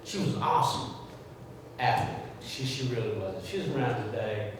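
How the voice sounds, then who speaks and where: A man's voice: medium pitch, lively intonation, normal speed. An older man speaking at a memorial gathering, reminiscing informally and rambling, with frequent restarts. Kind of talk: informal spoken remembrance. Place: indoor gathering hall. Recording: echoey hall from far off, with clear background noise.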